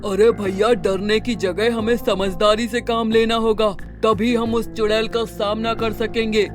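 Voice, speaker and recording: Man acting an earnest cartoon villager, slow speech. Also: sits high, level tone, music in the background. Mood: worried, earnest. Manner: earnest, scripted dialogue. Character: earnest village men planning a rescue.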